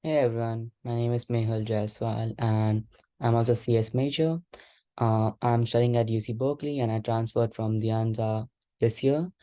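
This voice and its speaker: A young man gives a brief, matter-of-fact introduction on a student panel. His voice is mellow and fairly flat. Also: mid-range voice, moderate pace. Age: young adult.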